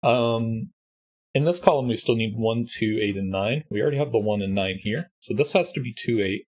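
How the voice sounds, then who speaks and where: A man with a congested, husky voice, calmly walking through a sudoku puzzle step by step as host. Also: medium pitch, moderate pace. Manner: patient step-by-step explaining. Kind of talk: sudoku walkthrough.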